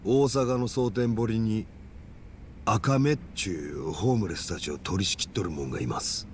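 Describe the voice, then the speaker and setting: A man's voice: on the low side, moderate pace, slight background noise, natural voice. A man playing a calculating, menacing yakuza in a video game, with a Kansai accent and polite speech that carries blunt threats. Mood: challenging. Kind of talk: dramatic video game cutscene acting.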